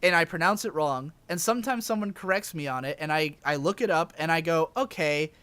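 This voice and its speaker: A man's voice: on the high side, a little fast, a bit bright, clean tone, no room sound. Earnest young man with a mild Southern accent. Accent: Southern American, mild. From American South. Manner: earnest, explains at length, defensive. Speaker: podcast co-host. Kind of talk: casual group podcast argument.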